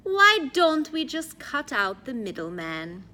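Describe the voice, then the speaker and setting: A woman voicing an imperious female crime boss in an audiobook, commanding, deliberate and coolly efficient. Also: sits high, very animated, pushed and bright, voice pushed up, exaggerated voice.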